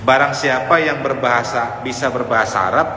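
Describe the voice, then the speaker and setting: A man's voice: mid-range voice, fairly quick, sharp tone. Male preacher, conversational lecture style, long hall reverb, faint background noise. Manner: conversational, often addressing listeners directly; sprinkles in Arabic phrases and quotations. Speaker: Islamic preacher teaching a congregation. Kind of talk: informal religious lecture on the Prophet's biography.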